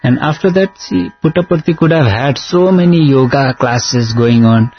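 A man's voice: medium pitch, normal speed. A man whose first language is Nepali, a singer recounting stories in an earnest, devotional interview, now and then singing a line. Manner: earnest storytelling, breaks into sung lines. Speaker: singer and guest. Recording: slightly dull with faint music.

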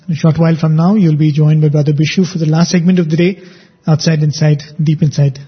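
A fairly high-voiced man with a husky edge hosts a devotional radio talk. He speaks earnestly and reflectively and draws his points out through stories. The sound is dull and lo-fi. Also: fairly even intonation, fast. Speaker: radio show host.

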